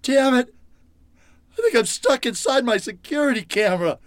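An actor playing a panicked, foul-mouthed captive in old-time radio horror style, halting and melodramatic. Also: male voice, very high voice, big pitch swings, no echo. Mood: bewildered, horrified. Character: panicked, foul-mouthed captive man pleading and scheming to escape.

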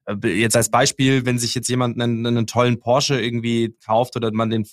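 A man's voice: medium pitch, little pitch movement, fairly quick, no echo. A male podcast host chats casually, recorded dry with no room sound. He rambles and repeats words while he searches for his point.

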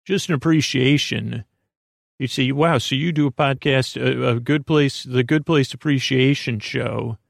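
A man with a creaky voice giving a lulling, meandering, halting bedtime podcast monologue as host, in a self-deprecating way. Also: mid-range voice, no room sound, clean studio sound. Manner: lulling, soothing.

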